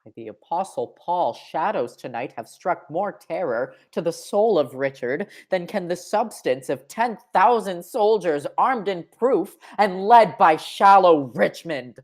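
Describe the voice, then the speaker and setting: A woman's voice: fairly low pitch, lively intonation, unhurried, few pauses. A young woman playing a murderous, guilt-haunted king in a Shakespearean radio play, with theatrical, declamatory delivery. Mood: brooding, grim. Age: young adult. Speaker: acting student.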